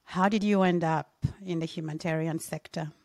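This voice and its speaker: Low-voiced woman host, dry close sound. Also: fairly even intonation, fairly quick, darkish tone, no room sound. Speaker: interviewer, host. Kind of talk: conversational interview.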